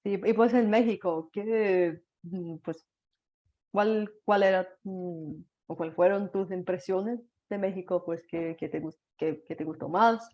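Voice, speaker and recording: Soft, tentative woman asking questions. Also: fairly low pitch, unhurried. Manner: tentative, soft questions with many fillers and small laughs. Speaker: interviewer. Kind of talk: casual conversation in a second language. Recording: slightly dull lo-fi audio.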